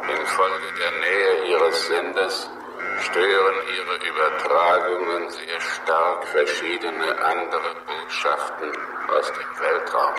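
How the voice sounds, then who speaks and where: A vast, coolly superior alien cloud intelligence in an old radio drama. The voice is low and very slow, with formal, evenly stressed phrases. It comes through as a distant transmission buried in loud noise. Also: male voice, roomy sound. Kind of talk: 1960s German science fiction radio play.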